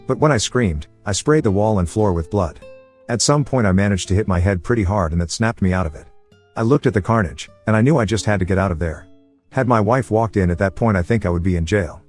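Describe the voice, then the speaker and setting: A man narrating a forum post in the first person as a scripted story, with a brisk, steady reading manner. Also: on the low side.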